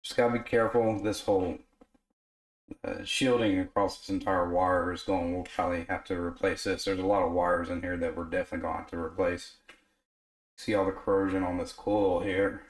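A man with a deep, flat voice that is worn hoarse from being sick. He talks in a relaxed, unscripted way at his repair bench, full of pauses and fillers as he works. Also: low-quality recording. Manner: relaxed, filler-heavy explaining while working. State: hoarse from illness. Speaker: radio repair technician. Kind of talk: casual workshop narration. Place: workbench in a home repair shop.

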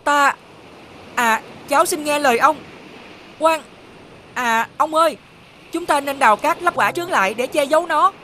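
High-pitched young boy prince. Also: unhurried, long pauses, pushed and bright, a little hiss or hum. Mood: earnest. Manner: polite, childlike. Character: earnest young boy prince, polite and dutiful. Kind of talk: animated fairy tale dubbing. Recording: background music under the voice.

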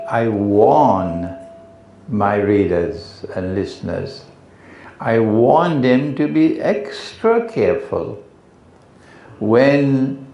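A man's voice: medium pitch, mellow. A man with a Trinidadian accent answering audience questions after a lecture, firm and corrective. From Trinidad and Tobago. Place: lecture hall. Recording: echoey hall, slightly dull.

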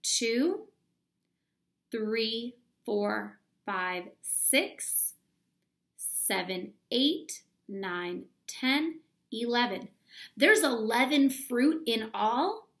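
Female elementary teacher, read-aloud for young children. Lively swooping pitch with creaky fry, deliberate and instructional. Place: small plain room at home, indoors.

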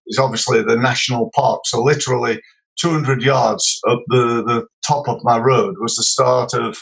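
Middle-aged yorkshire guy on a podcast, chatty, lots of um and uh, pauses and restarts. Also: mid-range voice, steady tone, gravelly, a bit bright, breathy edge, poor audio. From Sheffield, England. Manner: hesitant, many fillers and restarts. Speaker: podcast guest. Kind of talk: casual interview storytelling.

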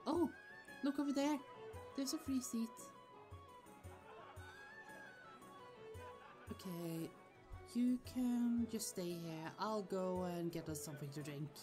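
A woman's voice: mid-range voice, lively intonation, a little slow, close to an everyday voice. A woman playing a protective, easily annoyed sorceress sister in a fantasy audio roleplay, chatty, affectionate and firm. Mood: cheerful, affectionate. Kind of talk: ASMR roleplay acting. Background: music.

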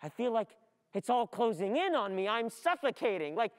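A woman's voice: on the high side, big pitch swings, moderate pace. A young woman acting an anxious, overwhelmed, easily distracted student in an agitated, rambling theatrical monologue. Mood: panicked, overwhelmed. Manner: agitated, rambling inner monologue, repeating words. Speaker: actor playing a student. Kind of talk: theatrical radio-play monologue. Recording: long hall reverb of a stage.

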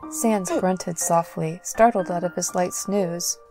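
Low-voiced woman narrating a story. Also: fairly even intonation, slow, warm tone, faint music behind.

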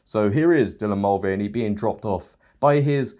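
British man, twenties, lowish voice, sarcastic, mocking commentary, small home studio. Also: moderate pace, dark tone. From Britain. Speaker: commentary host.

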